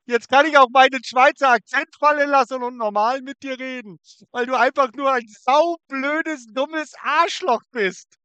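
A man's voice: very high voice, measured pace, sharp tone, clear, resonant tone, dry recording. A man on a prank phone call, taunting and crude, sitting in his car in a forest. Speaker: scam baiter.

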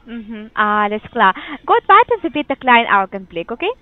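High voiced lady selling over the phone, polite script, lots of uh, sounds tinny like a phone line. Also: big pitch swings, moderate pace, no room sound. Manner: polite, scripted questions, hesitant fillers. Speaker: telephone sales agent. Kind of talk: scripted sales call.

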